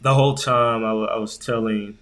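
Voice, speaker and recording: A man's voice: lowish voice, fairly even intonation, brisk, fairly bright tone. A man co-hosting a podcast, chatting informally and slangily in a casual conversational style. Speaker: podcast co-host. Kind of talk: casual conversational tech chat.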